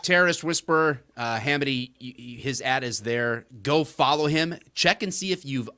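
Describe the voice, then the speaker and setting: Bright-voiced joking podcast host. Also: male voice, mid-range voice, moderate pace, clear, resonant tone. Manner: energetic, joking, emphatic plugs. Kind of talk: casual podcast talk.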